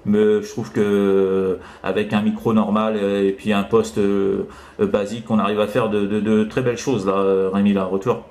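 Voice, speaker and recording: A man's voice: fairly low pitch, little pitch movement, normal speed, a crowd in the background. A man chatting casually as an amateur radio operator, hesitant and rambling, often tagging on "hein". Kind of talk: casual radio contact chat. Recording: roomy, echoing room.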